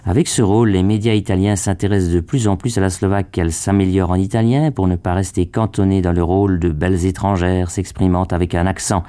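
Low, dark-voiced male radio presenter. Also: steady tone, smooth, dry recording. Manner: measured, formal reading. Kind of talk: scripted radio feature narration.

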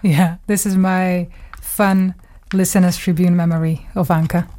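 A woman's voice: on the low side, level tone, unhurried. Woman, thoughtful radio guest. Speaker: radio journalist, studio guest. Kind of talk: reminiscing in a studio interview.

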